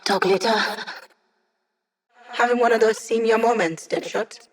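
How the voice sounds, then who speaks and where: A woman's voice: on the high side, unhurried, natural voice. An actress voicing a cocky, playful young female hacker who guides a squad in a video game, with a teasing, breezy manner. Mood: wry, upbeat. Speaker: hacker and mission support. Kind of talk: video game character voice lines.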